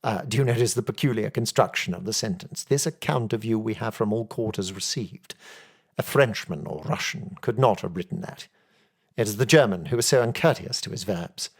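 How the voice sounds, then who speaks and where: A man voicing a brilliant, confident detective in an audiobook story, speaking in a brisk, emphatic, self-assured and theatrical way. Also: lowish voice, creaky, breathy edge. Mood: keen, triumphant.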